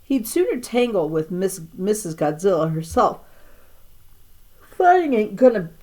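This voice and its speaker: A woman's voice: mid-range voice, big pitch swings, unhurried, mellow. A woman voicing an exasperated, reluctant schoolboy and older brother in a storybook, with rustic, ungrammatical speech.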